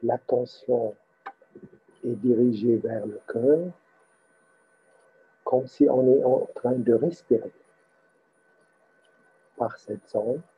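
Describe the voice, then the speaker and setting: A man leads a guided meditation in a husky voice. He speaks extremely slowly and haltingly, breaking instructions into short phrases. The recording is dull and lo-fi. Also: mid-range voice.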